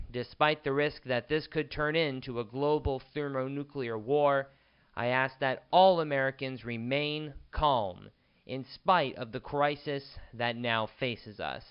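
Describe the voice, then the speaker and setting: Man acting as solemn government spokesman, smooth voice. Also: mid-range voice, muffled recording, natural voice. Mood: solemn, resolute. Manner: grave, formal, measured. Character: solemn male government spokesman addressing a nation in crisis. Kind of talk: scripted emergency address.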